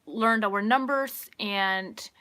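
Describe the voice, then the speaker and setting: Bright-voiced woman chatting with lots of ums. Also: mid-range voice. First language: Pennsylvania Dutch. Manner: unhurried, hesitant, many ums and restarts. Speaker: livestream host. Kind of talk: casual livestream chat. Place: home room with sunlit curtains.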